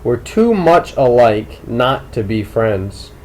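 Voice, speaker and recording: Audiobook role: man as a clever teenage jock, coolly insistent and manipulative, very unhurried delivery. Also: medium pitch, very animated, darkish tone, poor audio. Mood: quietly insistent. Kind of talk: audiobook character dialogue.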